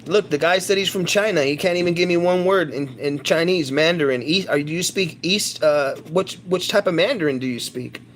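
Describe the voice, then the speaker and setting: A man's voice: a little high, a little fast, hardly any pauses, a little hiss or hum. Rambling, crude man chatting on a livestream. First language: English. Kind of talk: casual livestream chat.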